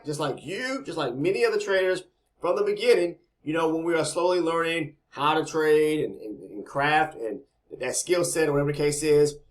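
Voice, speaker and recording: A man with a fairly high, slightly raspy voice gives a casual, rambling talk on trading. He repeats words and restarts often, and checks in with "okay?" as he goes. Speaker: trading educator. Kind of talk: casual talking-head explainer.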